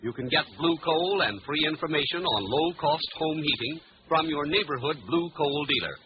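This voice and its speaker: A man's voice: fairly high pitch, expressive, moderate pace, background noise. Muffled 1940s male radio announcer. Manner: formal, polished salesmanship. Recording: muffled old radio, music behind.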